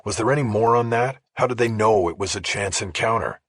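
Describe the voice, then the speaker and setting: A man's voice: fairly low pitch, brisk, slightly rough, dry recording. A man voicing a persistent, probing male investigative journalist in an audiobook. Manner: probing, questioning. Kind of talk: audiobook character dialogue.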